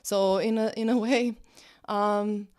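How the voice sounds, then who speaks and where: A woman in her late thirties from Serbia with a Serbian accent, a guest chatting in an interview, cheerful and quick to laugh. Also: medium pitch, fairly even intonation, a little mellow, clean tone. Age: 30s. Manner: cheerful, laughs often, restarts words. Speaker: guest, social psychology professor. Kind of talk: casual interview chat.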